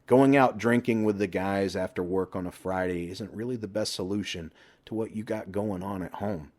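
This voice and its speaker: Male narrator as middle-aged man, low voice, sarcastic, teasing, crude, audiobook best friend. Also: moderate pace, a little mellow. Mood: sardonic teasing.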